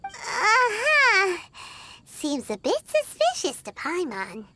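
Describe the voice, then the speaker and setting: A woman voicing a tiny, childlike, cheeky fairy companion in a video game, with a squeaky voice. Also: huge pitch swings, takes it slowly, bright, forceful tone, heavily acted. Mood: skeptical. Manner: refers to herself by name. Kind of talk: English video game voice acting. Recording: music behind the voice.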